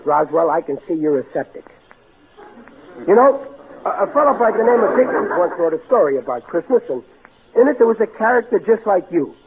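An actor playing a blustering, soft-hearted working-class American family man, folksy and bumbling, in an old-time radio comedy. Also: high voice, very animated, brisk. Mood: earnest, coaxing. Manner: folksy, bumbling, exasperated, ungrammatical. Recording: tinny, noisy vintage radio recording.

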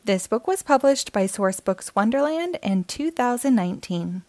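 A woman hosting a storytime show, reading a children's picture book aloud in an expressive, measured way. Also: fairly high pitch, no echo.

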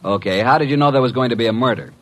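An actor playing a wry, cheeky, streetwise detective's assistant with a breezy, mock-polite manner, in 1950s radio drama style. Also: male voice, mid-range voice, rapid-fire, fairly bright tone, lightly acted. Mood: matter-of-fact.

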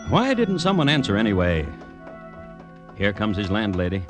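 Low-voiced guy telling old radio crime stories, super animated delivery, music all under him. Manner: dramatic, deliberate, wry. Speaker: host and narrator. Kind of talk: 1950s radio crime storytelling.

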